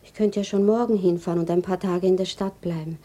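A woman's voice: fairly low pitch, steady tone, fast talker, dark tone, close to an everyday voice. An actress playing a nervous, yearning woman who murmurs her thoughts hesitantly in a German radio play. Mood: hushed, longing. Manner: hesitant, breathy, murmuring to herself. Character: Bertha, a nervous, yearning woman thinking aloud. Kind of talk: German radio play acting, intimate inner monologue.

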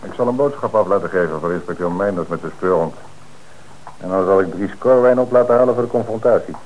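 An actor playing a calm, methodical police commissioner in an old radio play, speaking in a measured, firm way. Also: male voice, low voice, highs cut off, a little hiss or hum, natural voice. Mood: thoughtful. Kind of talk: old Dutch radio drama acting.

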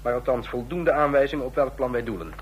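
An actor in a radio play playing a stern, condescending ambassador of a fascist state, speaking in formal, measured officialese. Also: male voice, medium pitch, close to an everyday voice. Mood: businesslike, commanding. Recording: clear hum and noise, old radio recording.

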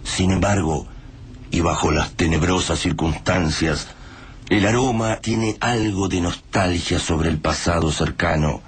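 A man's voice: deep voice, husky, exaggerated voice. A man acting as the grave narrator of a radio drama about war, speaking in a solemn, literary way. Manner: slow, solemn, literary delivery. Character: grave male narrator of a post-apocalyptic war tale. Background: music.

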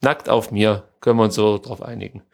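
A man's voice: fairly low pitch, flat delivery, fairly quick, creaky, a little mellow. A man co-hosting a podcast, chatting casually and giving his opinions in colloquial language. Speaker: podcast co-host. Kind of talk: casual chat about pro wrestling.

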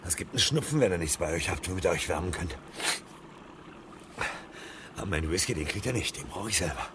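A man's voice: low-pitched, lively intonation, fast, noisy background. A man acting a hunted fireman on the run in a radio play, muttering agitatedly to himself, defiant, sarcastic and afraid.

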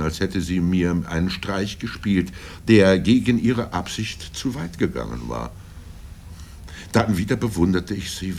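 An older man reading literary first-person prose in a grave, deliberate manner with dramatic pauses. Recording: low rumble and background noise.

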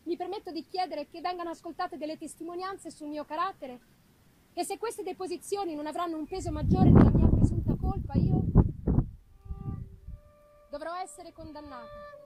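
Woman acting a high-voiced accused woman, solemnly pleading. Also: moderate pace, very noisy. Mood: anguished, resigned. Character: wretched accused young woman pleading her innocence in court. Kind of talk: dramatic gothic novel reading. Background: live music.

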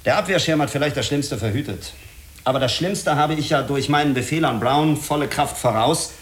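An actor playing a stern, self-critical spaceship commander in a German radio drama, speaking firmly and explaining in measured phrases. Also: male voice, on the high side, slight background noise, lightly acted. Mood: reflective, insistent.

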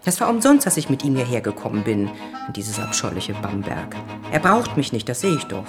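An actress in a German radio drama playing the weary, reproachful wife of an ailing writer. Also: female voice, extremely low pitch, sing-song, a little fast, over music. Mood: exasperated. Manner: reproachful, exasperated.

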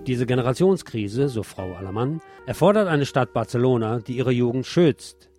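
A male radio presenter reads prepared news and commentary in a voice of average pitch. Music plays faintly behind him as he goes through the script. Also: expressive, moderate pace, warm tone.

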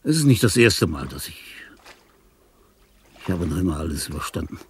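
An actor playing a frail, dying old composer in a radio play, self-pitying and grandiose, rambling theatrically with a breathy, husky voice that often falls to a whisper. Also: male voice, sits low, very animated, moderate pace, a little hiss or hum. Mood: hushed, uneasy. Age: older. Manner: theatrical, rambling, with sudden swings from murmur to outburst. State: frail, ailing.

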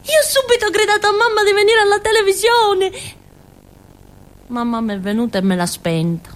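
A woman's voice: very high voice, lively intonation, moderate pace. An actress playing a naive, indignant village fool with a southern Italian accent, telling her story in a rambling, emphatic, colloquial theatrical monologue. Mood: agitated. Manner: childlike, rambling, emphatic, seeking agreement with tags. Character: naive, indignant village fool, a chatty Calabrian young woman.

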